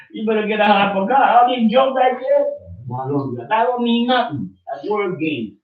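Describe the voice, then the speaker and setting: A man rants with fire and insistence, hammering the same points again and again. He sounds far from the camera, so his voice comes through distant and echoey. The recording of the small room is dull and muffled. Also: extremely high pitch. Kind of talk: impassioned rant. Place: small study room with bookshelves, indoors.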